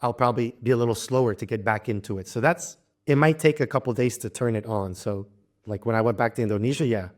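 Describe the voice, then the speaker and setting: Man, young adult, from Louisiana, interview guest, casual, halting, many restarts, laughs lightly, mid pitch. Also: darkish tone. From Louisiana, United States. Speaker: interview guest, traveler and polyglot.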